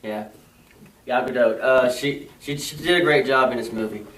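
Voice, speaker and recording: A young man co-hosting a casual movie review, talking haltingly with many fillers and restarts. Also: medium pitch, a bit bright, a little hiss or hum. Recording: echoey room, mic far off.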